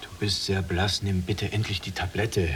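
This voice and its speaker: A younger prisoner in a radio play, caring and dreamy. His fairly low voice moves in earnest, lyrical phrases over a clear hum. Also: flat delivery, normal speed, fairly bright tone. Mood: worried pleading. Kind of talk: literary radio drama acting.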